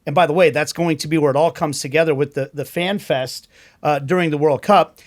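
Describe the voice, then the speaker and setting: A man's voice: fairly high pitch, steady tone, brisk, dry recording, studio quality. Upbeat man hosting a trivia quiz. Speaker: podcast host. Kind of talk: casual trivia quiz and show intro.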